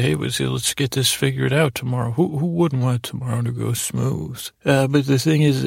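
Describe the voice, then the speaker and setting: Gravelly, creaky man rambling at bedtime. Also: medium pitch, no room sound, studio quality. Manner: meandering, halting, stumbling over words. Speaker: podcast host. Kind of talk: rambling bedtime monologue to help listeners sleep.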